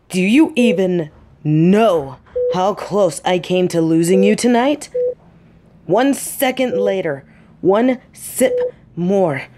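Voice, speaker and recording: A woman's voice: medium pitch, darkish tone. A woman acting a fiercely protective female field agent in an audio roleplay, scolding someone she loves in an emotional, emphatic way. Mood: stern, upset. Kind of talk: intimate dramatic audio roleplay monologue.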